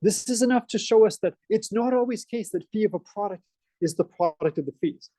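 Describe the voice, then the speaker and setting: A man's voice: sits high, fairly quick, no room sound. A man with a Boston accent giving a college math lecture, asking the class questions as he goes. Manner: energetic, asks the class questions. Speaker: math professor.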